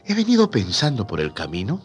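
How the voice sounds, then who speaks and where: Guy on radio chatting from a script, low voice, super lively pitch, faint music. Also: brisk. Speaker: radio co-host. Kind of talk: scripted conversational radio presenting.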